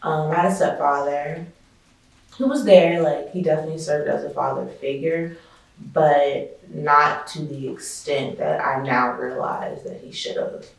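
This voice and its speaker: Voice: mid-range voice, steady tone, a little slow, vocal fry. A young adult speaking hesitantly, searching for words in a documentary interview. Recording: distant mic in a very echoey room.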